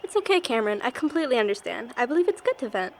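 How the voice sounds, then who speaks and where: Casting: actress as a supportive young American woman, brisk pace, slightly high, audio drama. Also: very animated. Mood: sympathetic.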